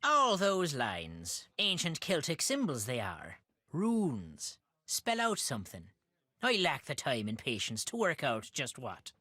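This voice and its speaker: A woman's voice: very deep voice, wildly swooping pitch, slow pace, bright, forceful tone, breathy edge, no echo, studio quality, exaggerated voice. An actress voicing a blunt, wry Irish countrywoman who keeps sheep, with folksy, brusque phrasing in a video game. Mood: wry, grumbling. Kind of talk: video game character dialogue.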